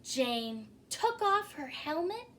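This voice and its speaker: A woman storyteller giving an expressive children's read-aloud, addressing young listeners and sometimes putting questions to them. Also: sits high, takes it slowly. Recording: faint background noise.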